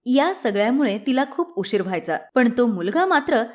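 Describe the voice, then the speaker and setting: A woman narrating a scripted devotional story in an expressive storybook delivery. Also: on the high side, normal speed.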